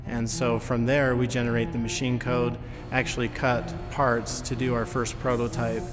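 A man's voice: mid-range voice, monotone, normal speed. A man in his thirties, a design engineer, explaining his process informally in a documentary interview. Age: 30s. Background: background music.

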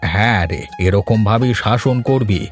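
Man playing genial, low-voiced ageing cartoon father. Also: measured pace, faint music behind, lightly acted. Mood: meek, fond. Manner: easygoing, agreeable. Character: genial ageing father-in-law, meek before his daughter. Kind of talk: Bengali cartoon dialogue dub.

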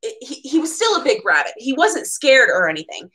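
A woman's voice: high voice, big pitch swings, fairly quick, fairly bright tone. A young adult woman reading children's picture books aloud in a playfully dramatic way, with chatty asides, recorded in a small room at home.

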